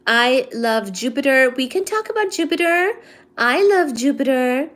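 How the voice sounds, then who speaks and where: Chatty woman host, slightly high voice. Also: animated, unhurried, fairly bright tone. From India. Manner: chatty, teasing, reads chat aloud and answers it. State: low-energy today. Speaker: livestream host. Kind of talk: casual live-stream chat with viewers.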